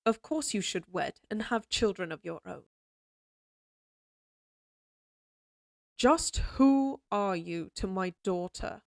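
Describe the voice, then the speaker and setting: A woman's voice: mid-range voice, animated, measured pace, creaky, dry recording, clean studio sound. A woman playing a stern, middle-aged noblewoman and mother in a fantasy audio drama, speaking in a formal, commanding way. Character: stern, imperious noble mother of a lady knight. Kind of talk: scripted fantasy audio roleplay acting.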